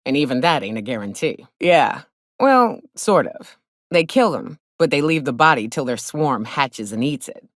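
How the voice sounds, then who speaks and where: A low, very expressive female voice, recorded dry. a hard-drinking monster hunter from a video game frontier, blunt and teasing. Her delivery is wry, with a folksy drawl. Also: no room sound. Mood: irritated, blunt. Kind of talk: video game companion dialogue.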